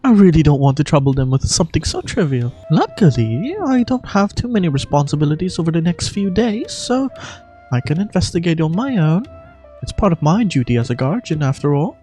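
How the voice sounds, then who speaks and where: Girl voice acting a calm serious guardian lady, polite n formal, game dialogue, expressive pitch, soft music under it. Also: fairly quick. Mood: thoughtful. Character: earnest, composed young female desert guardian. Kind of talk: amateur video game voice acting.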